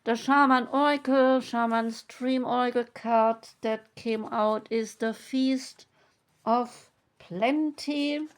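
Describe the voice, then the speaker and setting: A woman reading oracle card meanings aloud in a slow, measured, soothing way. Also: on the high side, fairly even intonation. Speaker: tarot and oracle card reader.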